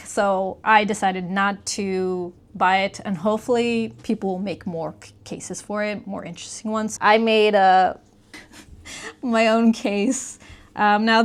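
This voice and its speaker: Woman, low-ish voice with heavy fry, casual explanatory co-host for a gadget review. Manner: explanatory, conversational. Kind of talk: casual product review chat.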